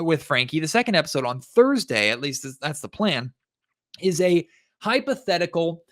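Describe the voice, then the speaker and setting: A man with a somewhat high-pitched voice hosts a sports podcast on his own. He delivers his commentary conversationally, addressing the listener directly as he goes. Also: fairly bright tone. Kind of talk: solo sports podcast commentary with scripted plugs.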